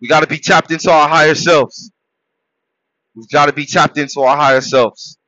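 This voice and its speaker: A man born in Haiti preaches to his listeners in a high, bright, forceful voice, shouting some points and dropping to a low murmur for others. The recording is dull and dry, with no room sound. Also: fairly even intonation, normal speed, dry recording. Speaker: podcast host. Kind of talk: motivational monologue.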